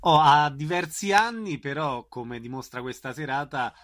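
A man's voice: a little high, animated, moderate pace, fairly bright tone. A man hosting a radio interview, putting questions to his guest and reading out announcements.

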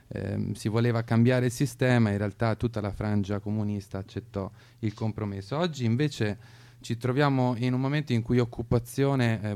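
Guy on radio, soft dark tone w lots of fry, hesitant, brainy political talk. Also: on the low side. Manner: hesitant, searching, intellectual. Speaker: radio show speaker. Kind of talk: explanatory political discussion.

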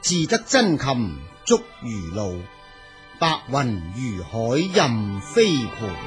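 Man doing a very slow, declaiming classical poet. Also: mid-range voice, sing-song, faint music behind. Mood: elated, declaiming. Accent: Cantonese. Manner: declamatory, drawn-out recitation. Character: delighted male classical poet chanting verse.

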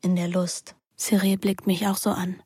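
An actress playing a sensual, carefree teenage daughter, narrating intimately and with measured calm in a radio drama. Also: female voice, lowish voice, slightly rough, no echo, studio quality. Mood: reflective, intimate.

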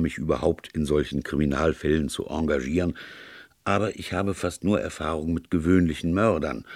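A man voicing a calm, earnest priest detective who reasons at length in an audiobook. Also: deep voice, no room sound, clean studio sound. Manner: even-tempered, sincere, discursive. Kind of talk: audiobook character dialogue.

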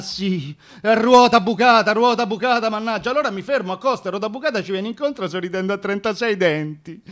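A man telling a comic first-person story for radio in a theatrical, colloquial way. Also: high-pitched. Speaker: actor-narrator.

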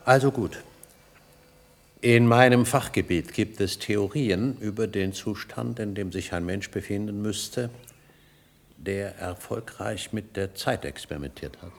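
A man acting an insistent, irascible doctor in a radio play, with a gravelly, husky voice and a precise, probing delivery. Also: fairly low pitch, unhurried. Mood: measured, explanatory. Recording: vintage radio play recording.

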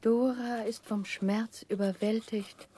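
Breathy, very slow sentimental young lady. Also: fairly high pitch, steady tone, dark tone. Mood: mournful, tender. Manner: slow, mournful, drawn-out. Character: solemn, sentimental young lady reading out her letter. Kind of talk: 1960s German radio drama acting.

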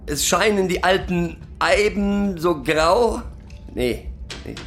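An actor playing a rambling, proudly sentimental middle-aged pub drinker who lectures and recites poetry in a halting, self-correcting way. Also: male voice, high-pitched, a bit bright, full character voice. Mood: flustered. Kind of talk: theatrical monologue with halting recitation.

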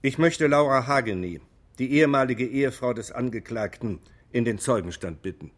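A man's voice: mid-range voice, lively intonation, moderate pace, close to an everyday voice. A man playing an earnest defence lawyer in a radio drama, arguing and probing in formal, emphatic language. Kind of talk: German radio drama acting, courtroom argument.

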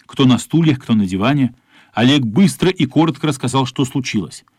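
An actor narrating a children's adventure story as a scripted radio play. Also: male voice, medium pitch, animated, brisk, darkish tone, husky, no room sound, clean studio sound.

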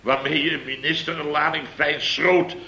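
An actor playing a blustering middle-aged contractor boss who rambles through an exasperated tale, in 1960s radio comedy acting. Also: male voice, a little high, steady tone, moderate pace, pushed and bright, echoey room, low-quality recording. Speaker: construction firm boss.

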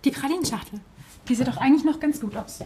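Woman as an eager intern, fast talker, slightly high voice. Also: warm tone, a little hiss or hum, close to an everyday voice. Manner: eager and helpful.

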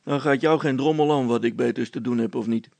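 An officious village policeman from a storybook played by a man, husky and creaky. He speaks in a colloquial, admonishing way, close and dry. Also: medium pitch, a little fast, a little mellow, no echo. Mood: brusque. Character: officious male village constable keeping order.

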